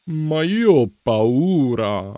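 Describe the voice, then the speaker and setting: A woman voicing a timid, worried male millipede in a children's bedtime story, with slow, anxious delivery. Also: a bass voice, very animated, mellow, no echo, studio quality, voice pushed down, exaggerated voice.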